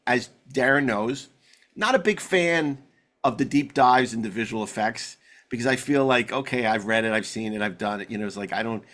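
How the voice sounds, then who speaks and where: A man talks as a podcast host in loose, casual conversation, at a middling pitch. He rambles with some excitement, often doubling back and repeating words as he builds his point. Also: normal speed. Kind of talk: casual chat about sci-fi television.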